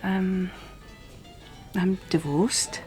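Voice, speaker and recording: Radio play role: a candid, friendly escort, slightly low voice, soft and wry. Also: female voice, fairly even intonation, very slow, soft background music. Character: wry, curious divorced escort, frank and friendly. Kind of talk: naturalistic radio drama acting.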